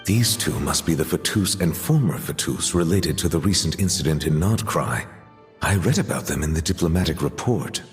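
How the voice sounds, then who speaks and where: A man's voice: rumbling low, animated, normal speed, soft background music. A man voicing a dignified, courteous dragon judge in a video game, speaking formally and with awkward hesitation. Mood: formal, reserved.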